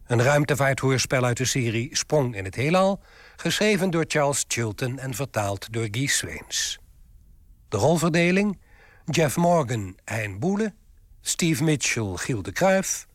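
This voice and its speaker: A man's voice: medium pitch, no room sound, studio quality. A man reading scripted radio credits as an announcer, in a formal, list-like manner.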